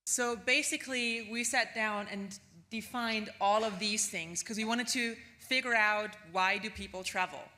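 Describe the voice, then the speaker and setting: Woman, keynote speaker, bright clear tone, relaxed chatty delivery full of ums. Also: medium pitch, a little slow. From Austria. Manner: casual, chatty delivery with frequent ums and tag questions. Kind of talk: conference keynote presentation.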